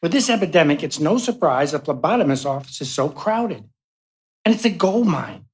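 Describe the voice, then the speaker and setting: A woman's voice: sits low, big pitch swings, normal speed, a little mellow. A woman playing an affable, wry male patient in a live radio play.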